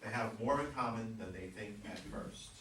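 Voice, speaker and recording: A man's voice: on the low side, normal speed, fairly bright tone. A man reading stage directions aloud at a live play reading. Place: echoey room at a live reading. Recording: echoey room, distant mic, noisy.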